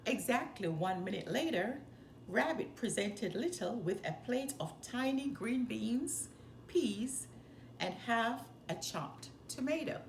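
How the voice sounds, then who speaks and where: A woman reading a children's story aloud with expressive, animated, deliberate phrasing. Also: fairly high pitch, creaky. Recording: roomy echo, faint background noise.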